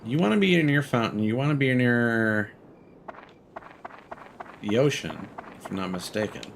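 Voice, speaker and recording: A man's voice: medium pitch, lively intonation, measured pace, a little hiss or hum, poor audio. A man giving casual, joking and sweary gameplay commentary as a streamer. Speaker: game streamer. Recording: music behind the voice.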